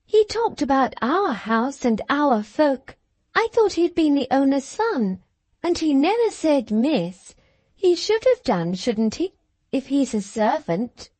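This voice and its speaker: A woman voicing a spoiled, imperious young girl who speaks in a high, demanding, indignant way. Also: sing-song, takes it slowly, a little mellow, dry recording, exaggerated voice.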